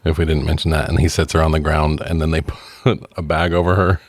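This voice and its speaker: A man's voice: very deep voice, level tone, fairly quick, no room sound. A man co-hosting a casual film discussion, joking and swearing as he comments. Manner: joking, profane. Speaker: podcast co-host.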